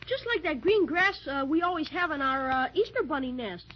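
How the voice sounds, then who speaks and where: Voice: sits high, lively intonation, brisk. A performer playing a scrappy young boy adventurer, blunt, slangy and defiant, in 1930s children's radio serial style. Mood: excited. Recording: muffled, faintly noisy 1930s radio recording.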